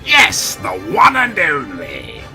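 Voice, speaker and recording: Manic villain prince for a story reading: very bright and forceful, slow halting menace. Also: male voice, a little high, huge pitch swings, creaky, soft background music, voice pushed up, full character voice. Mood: vengeful fury. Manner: dramatic, halting, manic declamation. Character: vengeful mad villain prince, shouting, theatrical.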